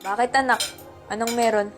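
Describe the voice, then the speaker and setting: A woman's voice: on the high side, fairly even intonation, a little fast, lightly acted. A woman playing an anxious, stern mother in an amateur radio drama. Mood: concerned, urgent. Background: background music.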